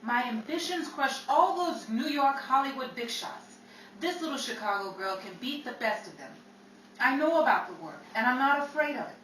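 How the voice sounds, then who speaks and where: A roomy, distant recording of an actress as a defiant young showgirl in a 1930s-style radio play. Her voice is on the high side, brash and driven, with dramatic delivery. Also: animated, normal speed, fairly bright tone. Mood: driven, impatient. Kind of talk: 1930s old-time radio drama acting. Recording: roomy, distant mic with faint noise.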